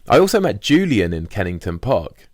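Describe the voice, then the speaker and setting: A man's voice: lowish voice, sing-song, normal speed, dry recording, clean studio sound. A man narrating a first-person diary as a scripted audiobook.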